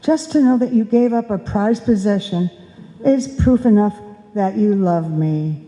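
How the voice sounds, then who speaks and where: A woman's voice: medium pitch, animated, measured pace, dark tone, natural voice. A woman playing a devoted, self-sacrificing wife in a live old-time radio comedy, earnest and sweetly sentimental. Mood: loving. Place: indoor hall stage, live performance. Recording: dull sound in a reverberant hall.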